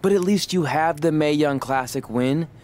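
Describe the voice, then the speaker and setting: A man's voice: fairly high pitch, normal speed, close to an everyday voice. A man playing an earnest young wrestler. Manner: earnest, declamatory ring speech. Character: young male wrestler, eager and determined. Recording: game audio with steady background noise.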